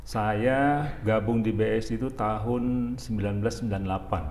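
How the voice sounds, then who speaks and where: A man in his forties from Jakarta, speaking as a guest in an interview in a relaxed, halting way with many fillers. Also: lowish voice, slight background noise. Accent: Jakarta Indonesian. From Jakarta, Indonesia. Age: 40s. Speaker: university rector, guest. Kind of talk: casual interview talk.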